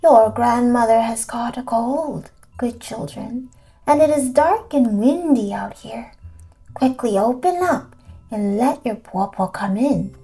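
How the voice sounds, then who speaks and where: A woman's voice: a little high, animated, slow pace, dark tone, voice pushed up, rough recording. A woman voicing a sly old male wolf who poses as a grandmother, coaxing and falsely affectionate, in a storybook reading. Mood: coaxing, urging. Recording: music behind the voice.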